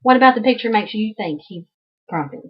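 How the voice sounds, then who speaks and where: A woman's voice: on the high side, animated, fairly quick, breathy edge, lo-fi sound. A woman with a Southern American accent teaching children through an interactive read-aloud, asking questions and repeating answers back.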